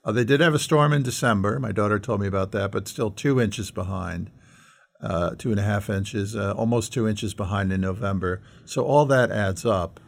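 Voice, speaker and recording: A middle-aged American man with a fairly deep voice and plenty of fry. He co-hosts a discussion in an earnest, conversational way, often posing questions as he reasons aloud. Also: moderate pace. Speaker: podcast co-host.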